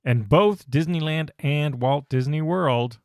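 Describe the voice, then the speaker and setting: A man's voice: on the high side, takes it slowly, dry recording, studio quality. A man co-hosting a podcast in casual chat, chatty and enthusiastic, laughing often. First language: not Hawaiian. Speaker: podcast co-host.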